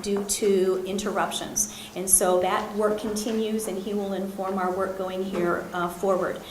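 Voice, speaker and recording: A woman's voice: mid-range voice, roomy sound, a little hiss or hum, low-quality recording. A woman chairing a board meeting, speaking with frequent ums and uhs. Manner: halting, many ums and uhs. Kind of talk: formal meeting remarks.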